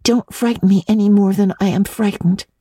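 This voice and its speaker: A woman's voice: mid-range voice, fairly even intonation, moderate pace, mellow, husky, no room sound, clean studio sound, pitched above the performer's own voice, close to an everyday voice. A woman voicing an earnest, dramatic, impulsive young girl with breathless, pleading delivery, in a classic audiobook reading. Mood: anxious, resolute.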